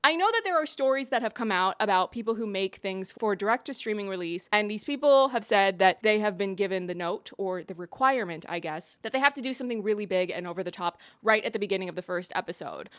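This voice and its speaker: A woman giving conversational video commentary as a reviewer, putting deliberate emphasis on key words. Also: medium pitch, dry recording. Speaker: video essayist and reviewer on her own channel.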